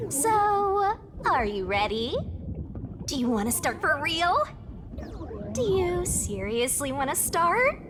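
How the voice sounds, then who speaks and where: A woman's voice: sits high, sing-song, slow pace, long pauses, noisy background, exaggerated voice. A woman voicing a cutesy, mischievous little spirit creature in a video game, teasing, bossy and playfully menacing. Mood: teasing, goading. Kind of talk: animated video game character acting.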